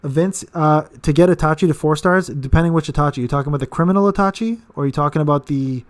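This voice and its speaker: A man in his late thirties answering viewer questions on a casual live stream. His voice sits a little high for a man, and he rambles, stumbling over repeated words and filling gaps with "um" and "uh.". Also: quick pace. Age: 30s. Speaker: gaming channel host.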